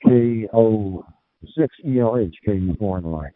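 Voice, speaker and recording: A man's voice: fairly low pitch, normal speed, no echo. A man from Southern California, a cordial and orderly amateur radio operator making a friendly contact. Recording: tinny, noisy ham radio transmission.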